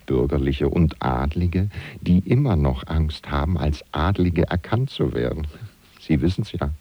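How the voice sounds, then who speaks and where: An actor playing a wry, gossipy valet in revolutionary Paris, confiding ironically in the listener in a theatrical radio-play monologue. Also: male voice, very deep voice, warm tone, full character voice. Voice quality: gravelly, husky. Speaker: actor playing a narrator figure.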